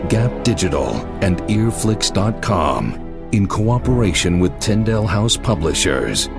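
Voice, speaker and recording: A man's voice: fairly low pitch, very animated, measured pace, gravelly. A man giving a dramatic scripted announcement as the announcer of an audio production. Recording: loud music under the voice.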